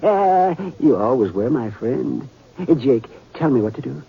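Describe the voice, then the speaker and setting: An actor playing a miserly, heartless old rancher with a folksy American accent in a 1950s radio drama. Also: male voice, mid-range voice, lively intonation, measured pace, vocal fry, husky, exaggerated voice. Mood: nervous, pleading. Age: older. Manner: folksy, stammering when frightened. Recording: muffled, noisy 1950s radio recording.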